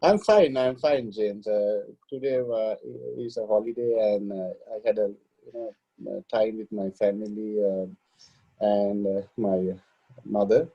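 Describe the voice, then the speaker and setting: A man's voice: on the low side, measured pace, slightly rough. A man from Sri Lanka, a podcast guest on a video call, speaking hesitantly with many fillers. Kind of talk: casual video-call interview. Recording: dull lo-fi sound.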